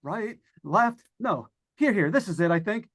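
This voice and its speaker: A man's voice: high voice, lively intonation, exaggerated voice. A man playing a nervous, lonely radio broadcaster unravelling in a haunted house, stammering and rambling in 1940s radio suspense style. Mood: frightened. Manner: stammering, halting, rambling with repeated words. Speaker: actor in old-time radio play. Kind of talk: 1940s radio suspense acting, live monologue.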